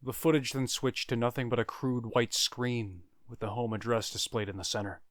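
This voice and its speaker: A man narrating a scripted first-person horror story, with dramatic pauses and phrases that trail off quietly. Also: medium pitch, fairly even intonation, dry recording, clean studio sound. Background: music.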